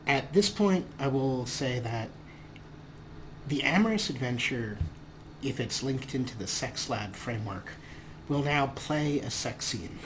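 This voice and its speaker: A man's voice: mid-range voice, low-quality recording. A man hosting a let's play with casual, playful commentary, often talking to the game's characters. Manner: playful, talks to game characters. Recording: clear game ambience noise.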